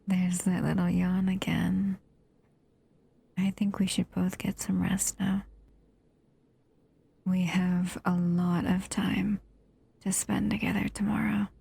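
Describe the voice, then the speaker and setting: Monster girlfriend, female performer, intimate roleplay: slow, crackly murmur, breathy, protective, possessive and adoring. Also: mid-range voice, mellow, exaggerated voice. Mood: sleepy, tender. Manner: tender, unhurried, doting murmur. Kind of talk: intimate audio roleplay addressed to the listener.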